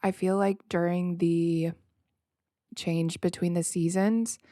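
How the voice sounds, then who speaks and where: A woman hosting a podcast alone in a casual, freestyle way, slightly nasal, reflective and rambling, often halting and repeating words. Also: fairly low pitch, little pitch movement, dark tone, dry recording, clean studio sound. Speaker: podcast host.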